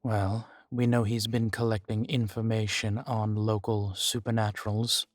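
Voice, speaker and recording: Slow, low-key ancient vampire played by a man. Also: level tone, no room sound, clean studio sound, close to an everyday voice. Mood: grave, measured. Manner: measured, deliberate, with dramatic pauses. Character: ancient male vampire, guarded, weary, grave. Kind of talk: scripted audio roleplay addressed to the listener.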